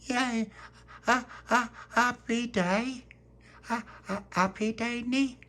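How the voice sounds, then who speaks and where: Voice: medium pitch, fairly even intonation, slow, bright, forceful tone, voice pushed up. A storyteller voicing a gleeful toddler girl who babbles in short bursts of baby talk.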